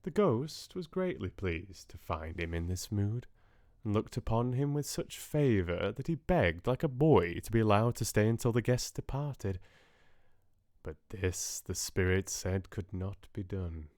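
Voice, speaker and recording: Casting: man for classic audiobook narration, dramatic and expressive, unhurried, in a very dry close recording. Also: fairly low pitch, no room sound. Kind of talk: scripted narration of classic literature.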